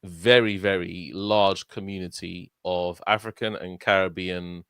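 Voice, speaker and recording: A man's voice: sits low, steady tone, measured pace, fairly bright tone, clean tone, dry recording, studio quality. A young man with a London accent, co-hosting a casual football podcast and talking earnestly, often tagging "yeah?" onto his points. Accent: London English. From London, England. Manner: earnest, rambling, repeats "yeah?" and restarts. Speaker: podcast co-host.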